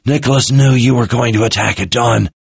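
A man voicing a captured enemy officer, raised pitch. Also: gravelly, breathy edge, no echo. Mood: dazed, frightened. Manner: mumbling, halting. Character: captured enemy officer, dazed and smugly menacing thug. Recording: muffled, dull-sounding recording.

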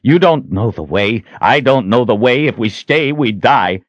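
Guy playing an urgent fantasy hero in an audiobook, pitched up, intense and insistent, swings around a lot. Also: lively intonation, moderate pace, a little mellow, no echo, muffled recording, close to an everyday voice. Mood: urgent, persuading. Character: urgent, determined man, hero of a fantasy tale.

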